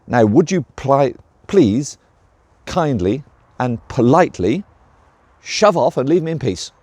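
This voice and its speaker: A man's voice: mid-range voice, very animated, slow pace, darkish tone, dry recording. A man voicing a grumpy, lazy young male dragon who talks in a snappish, curt way. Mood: irritable.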